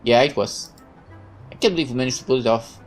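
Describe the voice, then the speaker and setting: Woman reading a naive young soldier lad, low and halting. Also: faint music behind. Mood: earnest. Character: naive young male soldier, earnest, hesitant. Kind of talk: reading visual novel dialogue aloud.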